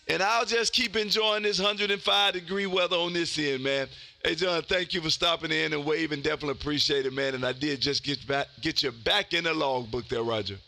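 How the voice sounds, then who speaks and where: A man in his fifties speaks in a high-set, piercingly bright voice. He is loud, exuberant and folksy, chatting casually as an amateur radio host working his contacts. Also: moderate pace, creaky, no room sound. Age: 50s. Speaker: amateur radio operator hosting a live stream. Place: indoor home radio room.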